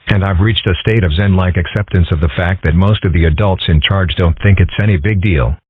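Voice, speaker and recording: A serene, wry cartoon fox schoolboy, played by a man, with a notably low and husky voice. He stays calm and deadpan. The recording is thin and tinny, like a phone line. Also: fairly quick, no room sound. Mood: resigned. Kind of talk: comic strip dub acting. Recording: tinny phone-like band-limited audio.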